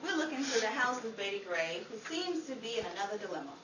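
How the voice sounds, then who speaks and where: A woman's voice: fairly high pitch, very animated, fast. A woman hosting with scripted, nostalgic old-time radio narration in a theatrical announcer manner. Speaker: narrator, host. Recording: roomy, distant live recording with audience, noise.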